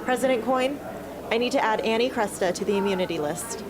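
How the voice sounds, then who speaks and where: A woman's voice: a little high, brisk, crowd noise, natural voice. A woman playing a defiant, guarded young rebel hunter in an amateur radio drama, speaking in a blunt, challenging way. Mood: insistent. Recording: faint hum and background noise.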